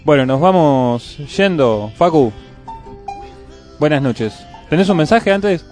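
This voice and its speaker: A man's voice: a little high, expressive, slow, darkish tone, faint music behind. A man with an Argentine accent co-hosting a community radio show in a casual, chatty style. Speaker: radio co-host.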